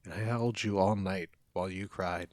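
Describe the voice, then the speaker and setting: A man's voice: low voice, fairly even intonation, moderate pace, no echo, natural voice. A man playing a caring, protective young college friend in an intimate, one-sided audio roleplay, speaking in an earnest, conversational way. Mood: tender, reassuring.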